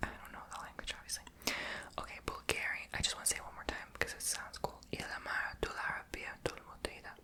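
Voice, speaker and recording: Chatty whispering woman doing ASMR. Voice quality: says she is tongue-tied and makes her r in the throat. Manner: soft unvoiced whisper, chatty and rambling. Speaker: ASMR creator.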